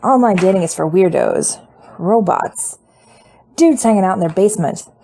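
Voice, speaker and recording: A woman reading the lines of a sarcastic, gruff older brother, an adult man, in an audiobook of fan fiction. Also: mid-range voice, lively intonation, normal speed, mellow. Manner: dry, sarcastic.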